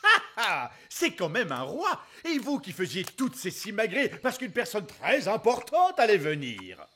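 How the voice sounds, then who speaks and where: Pompous fairy father-king for animation: animated, forceful, commanding yet genial. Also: high voice, measured pace, slight background noise, heavily acted. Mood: amused. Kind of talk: animated children's cartoon dub.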